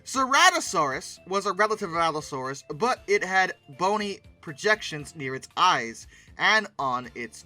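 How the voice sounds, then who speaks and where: Animated, very bright-voiced man commentating. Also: high-pitched. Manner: sarcastic, exclamatory asides. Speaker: host commentating on old dinosaur art. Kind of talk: casual commentary mixed with reading text aloud.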